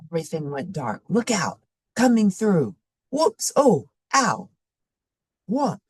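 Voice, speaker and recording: A woman voicing a laid-back, amusing couch potato who tells his story in a dramatic, expressive storybook manner. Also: lowish voice, slow pace, slightly rough, no room sound, clean studio sound, full character voice. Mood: panicked.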